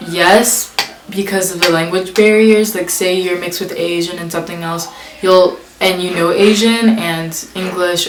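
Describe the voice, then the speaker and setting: Chatty young woman in an echoey room. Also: on the low side. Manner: chatty, many "like" fillers, reads questions aloud. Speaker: vlogger. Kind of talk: casual tag video answering questions.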